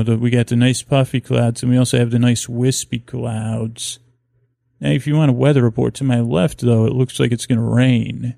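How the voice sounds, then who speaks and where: A man hosting a bedtime podcast, talking in a halting, meandering, improvised monologue with a creaky voice that drifts into tangents. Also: mid-range voice, warm tone, dry recording, clean studio sound. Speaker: host of a bedtime story podcast. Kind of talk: rambling, improvised sleep-aid monologue.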